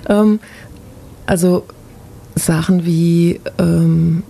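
A woman who is a guest on a radio show, speaking in a thoughtful, measured way with pauses. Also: fairly low pitch, steady tone, gravelly, mellow. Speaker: studio guest. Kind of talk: casual radio interview.

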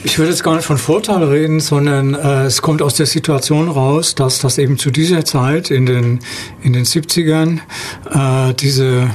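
A man chatting as a musician guest in an interview, halting as he searches for words. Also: on the high side, steady tone, a little hiss or hum. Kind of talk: casual interview chat.

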